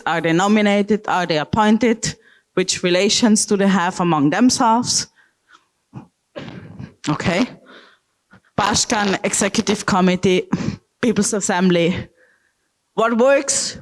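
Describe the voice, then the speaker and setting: A woman from South Tyrol giving a public lecture with slides, speaking slowly with frequent ums and check-in okays. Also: mid-range voice, rough recording. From South Tyrol, Italy.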